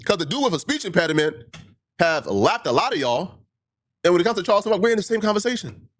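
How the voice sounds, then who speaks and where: A fairly high-voiced man who stutters, his pitch leaping up and down as he presses his case. He speaks as a livestreamer answering his critics, hammering the same points and firing off rhetorical questions. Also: very animated, quick pace. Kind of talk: heated monologue answering critics.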